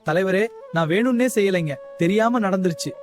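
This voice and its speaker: A man's voice: high-pitched, fairly quick, music in the background, lightly acted. A man playing a greedy young village farmer who turns meek in a moral story. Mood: pleading, remorseful. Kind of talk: animated story dialogue.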